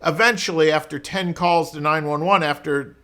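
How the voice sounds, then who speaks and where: A man's voice: a little high, fairly even intonation, moderate pace, sharp tone. A man speaking as a lawyer in an informal explainer, conversational and colloquial, often posing rhetorical questions. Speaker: criminal defense lawyer explaining law. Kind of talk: informal explainer talk to camera.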